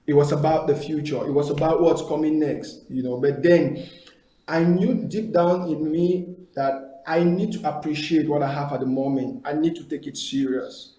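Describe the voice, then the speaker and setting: A man in his thirties, a guest answering interview questions over a video call, halting with many repeated words and fillers. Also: on the high side, mellow. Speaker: guest, company founder. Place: indoor room, video call. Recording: echoey room on a video call.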